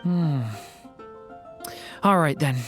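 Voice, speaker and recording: A woman's voice: deep voice, drawn-out words, soft background music. A woman voicing a polite, earnest young adventurer in a video game, speaking tentatively. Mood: hesitant, earnest.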